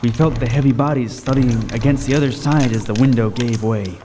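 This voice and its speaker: A man's voice: mid-range voice, brisk, natural voice. An actor playing a lighthouse keeper who tells his horror story with vivid, suspenseful intensity. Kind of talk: dramatic first-person narration in radio table read. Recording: roomy long reverb, faint noise.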